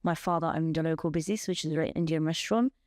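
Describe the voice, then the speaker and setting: Bangladeshi-born woman in her thirties, Bengali her first language, recounting her life as a podcast guest. She speaks in an earnest, measured way, running through long lists, with a lowish voice. Close mic and dry sound. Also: a little mellow, no room sound. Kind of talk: personal testimony in an interview.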